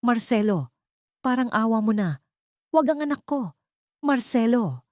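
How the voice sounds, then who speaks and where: A woman voicing a weak, heartbroken young mother who pleads hoarsely in an audio drama. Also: a little high, expressive, measured pace, a little mellow, no echo, studio quality, pitched above the performer's own voice. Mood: desperate begging. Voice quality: hoarse, trembling.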